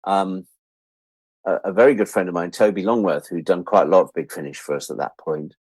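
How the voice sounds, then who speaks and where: A British man with a fairly low voice shares anecdotes as a guest in a casual interview. He is an animated storyteller who jokes at his own expense. The sound is close and dry, with very little room. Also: brisk, slightly rough, no echo. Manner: animated storyteller who acts out remembered exchanges; self-deprecating, joking. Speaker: interview guest, audio drama producer and director.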